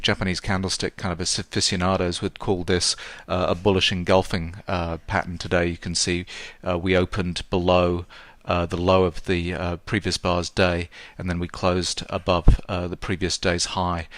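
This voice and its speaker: Male market analyst, hoarse, very low and level delivery, hesitant and filler-heavy, dry close sound. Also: little pitch movement, a bit bright, no room sound. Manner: halting, many fillers and hedges. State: hoarse, sore throat. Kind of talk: chart analysis lesson.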